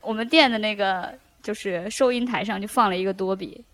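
A woman's voice: mid-range voice, a little fast, fairly bright tone, no echo. A woman co-hosting a podcast in casual, joking chat. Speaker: podcast co-host.

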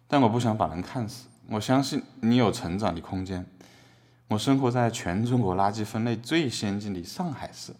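A man playing a mock-sincere activist artist defending himself deadpan in a scripted trial drama. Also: fairly low pitch, moderate pace, close to an everyday voice.

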